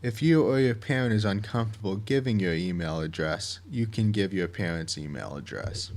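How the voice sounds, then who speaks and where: A man reading scripted instructions in a methodical, measured and plainly worded way, like a project director explaining a process. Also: fairly low pitch, animated, darkish tone.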